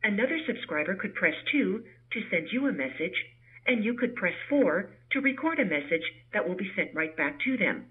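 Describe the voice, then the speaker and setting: A woman's voice: medium pitch, big pitch swings, husky. Woman on a tinny phone line, voicemail prompts. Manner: measured, helpful, soothing delivery. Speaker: voicemail system prompt announcer. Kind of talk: scripted instructional phone prompts. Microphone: telephone voicemail playback over VoIP line.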